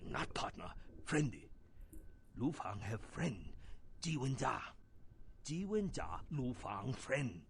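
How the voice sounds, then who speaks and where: A gravelly older Chinese ex-hitman for a video game, rambling in broken English with a Chinese accent. He takes his time, yet his pitch jumps about as he grumbles and brags about himself in the third person. Noisy ambience sits behind him. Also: big pitch swings, takes it slowly. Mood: conspiratorial, suspicious. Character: boastful, rambling retired Chinese hitman, shady and bitter. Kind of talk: video game character acting.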